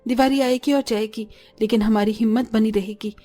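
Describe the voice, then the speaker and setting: A woman voices a poor mother and patient wife in a cartoon tale. Her high voice is gentle, resigned and encouraging, with music behind it. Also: steady tone, normal speed, lightly acted. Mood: reassuring, hopeful.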